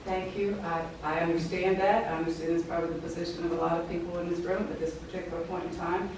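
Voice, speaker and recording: A woman city planning official answering questions at a public meeting in a measured, diplomatic way. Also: lowish voice, little pitch movement, background noise. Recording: echoey hall picked up from far off.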